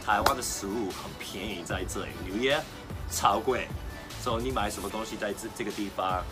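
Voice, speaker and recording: A man's voice: lowish voice, brisk, over loud music. A man from New York with an American accent, hosting a casual, breezy interview with plenty of fillers and questions. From New York, United States. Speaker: host of a video channel. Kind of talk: casual chatty interview, switching between English and Mandarin. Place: outdoor garden seating by apartment buildings.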